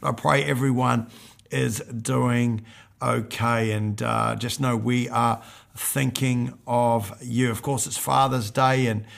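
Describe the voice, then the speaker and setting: An older male pastor preaching a sermon in a hoarse, croaky voice, reading scripture earnestly and with emphasis. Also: medium pitch, level tone, takes it slowly, fairly bright tone.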